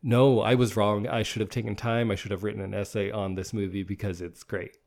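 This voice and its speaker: A man's voice: on the low side, flat delivery, brisk, gravelly, a little mellow. A man in his thirties co-hosting a casual movie podcast, sick with a sore throat and talking with nostalgic enthusiasm. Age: 30s. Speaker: podcast co-host.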